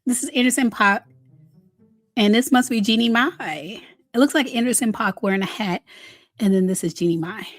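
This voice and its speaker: A woman hosting a casual celebrity gossip commentary, chatty and candid, with drawn-out emphasis and a slightly raspy voice worn from a recent illness. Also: fairly high pitch, dry recording. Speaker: host of a celebrity gossip channel.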